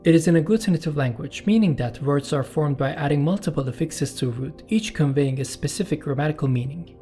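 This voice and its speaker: Man narrating, mellow voice. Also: on the high side, soft background music. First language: Turkish. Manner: measured, explanatory, with personal asides. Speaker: channel host and narrator. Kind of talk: scripted documentary narration.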